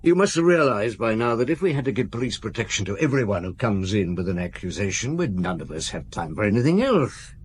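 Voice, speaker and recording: An actor playing a patient police chief inspector in a radio drama, asking measured, steady questions. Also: male voice, fairly low pitch, a little hiss or hum. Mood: probing. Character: calm, probing male police chief inspector.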